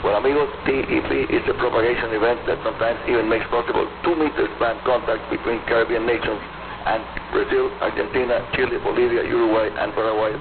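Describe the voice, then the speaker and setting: Radio host on crackly shortwave, gravelly man. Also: mid-range voice, flat delivery, fast talker. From Cuba. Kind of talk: scripted shortwave radio program for amateur radio hobbyists. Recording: weak, noisy shortwave radio, narrow band. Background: radio static and noise.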